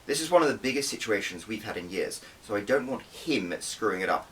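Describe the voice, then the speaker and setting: A man's voice: fairly low pitch, lively intonation, brisk, fairly bright tone, far from the mic, a little hiss or hum, poor audio. A man voicing a stern, weary older woman who runs an intelligence office, speaking in a dry, dismissive, commanding way for an audiobook. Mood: stern warning. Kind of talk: audiobook character dialogue.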